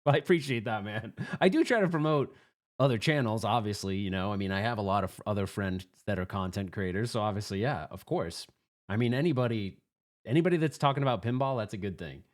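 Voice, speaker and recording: A man's voice: on the low side, animated, fairly quick. A man hosting a podcast in casual, earnest, rambling chat with an upbeat manner. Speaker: host of a live pinball podcast. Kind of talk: casual chat, reacting to voicemails.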